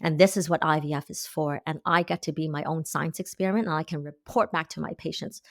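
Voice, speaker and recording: Low-voiced woman, earnest and explanatory. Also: fairly even intonation, quick pace, vocal fry, no echo, clean studio sound. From Canada. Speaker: guest, acupuncturist. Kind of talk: interview answers.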